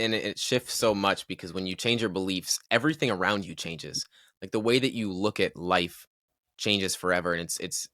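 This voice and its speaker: Deep, bright-edged male podcast host. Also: dry recording, studio quality. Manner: reflective, halting with restarts. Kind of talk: casual podcast conversation.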